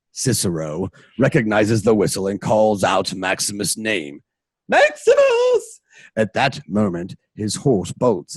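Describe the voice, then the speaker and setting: A man's voice: sits low, husky, no echo. A man narrating a radio play from a script in an informal, dramatic way, with casual asides.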